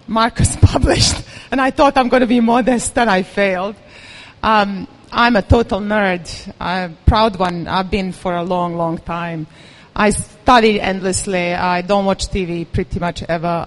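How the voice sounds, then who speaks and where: A woman giving a candid, self-deprecating personal talk to a live audience; she says she is nervous. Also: mid-range voice. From Serbia. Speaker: event speaker.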